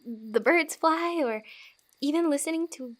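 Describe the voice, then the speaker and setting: A woman playing a friendly, teasing fantasy wanderer who gives comfort in a soft-spoken, giggly, rambling ASMR roleplay. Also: sits high, measured pace. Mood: gently reassuring.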